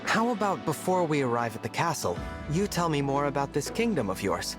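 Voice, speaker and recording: A man playing a gentle, earnest young divine hero in a video game, soft-spoken and formal in manner. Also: on the high side, very animated, brisk, music in the background. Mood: thoughtful, sincere. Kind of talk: scripted video game voice acting.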